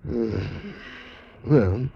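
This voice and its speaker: A man's voice: mid-range voice, drawn-out words, lo-fi sound, a little hiss or hum. An actor playing a doubting Roman leather worker in his forties in a classic radio drama, with wry, hesitant delivery. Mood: doubtful. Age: 40s. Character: doubting, middle-aged Roman leather worker, an ordinary family man.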